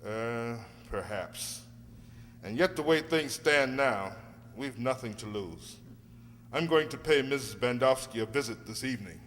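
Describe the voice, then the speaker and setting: Man acting an earnest gentleman lawyer, reverberant hall. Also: medium pitch, lively intonation. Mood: cautious, resolved. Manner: measured, hesitant, polite. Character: polite, earnest gentleman lawyer, cautious and determined. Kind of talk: amateur radio play acting. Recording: reverberant hall, faint noise and hum.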